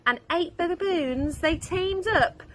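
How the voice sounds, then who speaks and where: A woman's voice: extremely high pitch, slow, rough recording. A woman, a primary school teacher, reading a children's story expressively. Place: outdoors in a garden. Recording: outdoor recording with faint background noise.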